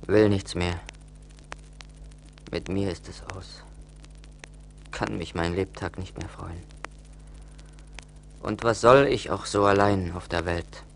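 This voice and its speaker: An actor playing an emotional, plaintive young charcoal burner full of remorse in a radio play. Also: male voice, low-pitched, steady tone, slow pace, creaky, pauses often, a little hiss or hum, exaggerated voice, rough recording. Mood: despairing. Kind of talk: theatrical radio play acting.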